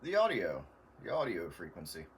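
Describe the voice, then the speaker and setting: Low-voiced man, halting radio chat. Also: huge pitch swings, very noisy. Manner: halting, tentative explaining. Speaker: amateur radio operator.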